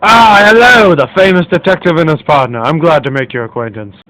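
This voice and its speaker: A man's voice: fairly high pitch, fairly quick, pushed and bright, low-quality recording. A man playing a courteous, wealthy businessman hosting a party in a radio mystery. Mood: gracious. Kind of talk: radio mystery play acting.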